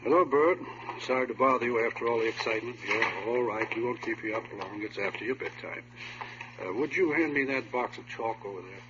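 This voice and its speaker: A man's voice: medium pitch, fairly quick, close to an everyday voice. An actor playing a kindly, patient, plain-spoken man on a sanitarium's staff in a radio drama. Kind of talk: 1950s radio drama acting. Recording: dull, noisy old radio recording.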